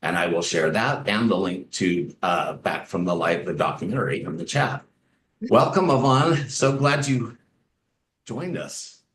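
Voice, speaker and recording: A man's voice: deep voice, animated, unhurried, fairly bright tone. A man hosting an online interview, recorded in an echoey room with faint background noise. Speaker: host.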